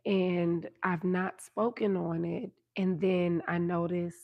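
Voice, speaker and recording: Hoarse woman giving a tarot reading. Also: on the low side, flat delivery, slow, highs cut off. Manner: soothing, repeats "right?" and "okay?". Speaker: tarot reader.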